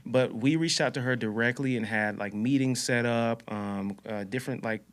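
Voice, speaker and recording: A man from Haiti co-hosting a podcast in casual chat, relaxed and rambling with lots of fillers. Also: mid-range voice, moderate pace. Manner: laid-back, rambling with fillers. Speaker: podcast co-host. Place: Dallas.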